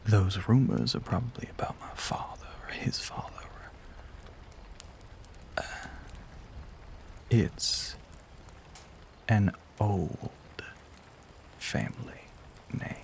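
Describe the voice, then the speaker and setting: A man playing a courtly, menacing, ageless vampire with a Southern US accent, in an intimate audio roleplay, breathy and mostly whispered, with theatrical, genteel phrasing and long pauses. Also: fairly low pitch, fairly even intonation. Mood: teasing, genteel. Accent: American South.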